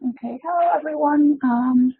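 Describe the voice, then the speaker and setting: A woman's voice: sits high, normal speed, a little hiss or hum. A woman from Germany, a library science student, politely introducing herself on a webinar with frequent fillers. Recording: phone-like webinar audio.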